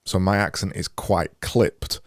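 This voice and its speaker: Yorkshire man, thirties, very low voice, dry close studio sound, halting and soft. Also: fairly even intonation, no room sound. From England. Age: 30s. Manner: halting, repeats words, soft-spoken. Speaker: podcast host. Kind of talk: casual video-call interview. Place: home studio room. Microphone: studio mic on boom arm with headphones.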